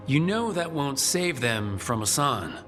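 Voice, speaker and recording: A man's voice: medium pitch, very animated, unhurried, over music. An actor playing a wry, encouraging male leader of a fantasy party in a video game. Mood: friendly teasing.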